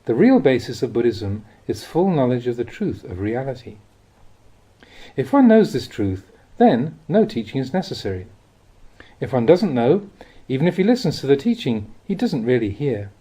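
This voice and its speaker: A man reads a spiritual teaching aloud in a measured, expressive, explanatory way, like an audiobook. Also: mid-range voice, breathy edge. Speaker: reader of Buddhist teachings. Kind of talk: audiobook reading of a spiritual talk.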